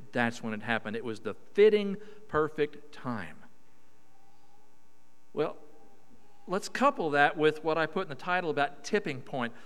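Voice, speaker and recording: A middle-aged man with a Southern drawl from Oklahoma preaches a sermon in a folksy, emphatic way, stretching his vowels. His voice is smooth and resonant. It rings out with a long reverb through a church hall. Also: a little high, a bit bright. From Oklahoma, USA. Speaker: guest preacher. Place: church sanctuary.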